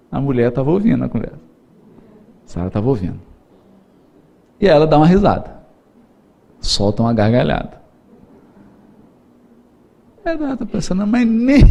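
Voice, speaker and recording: A man's voice: sits high, creaky, darkish tone. A middle-aged man with a Brazilian Portuguese accent giving a seminar lecture, telling stories playfully, laughing often and acting out the voices he quotes. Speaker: spiritist lecturer.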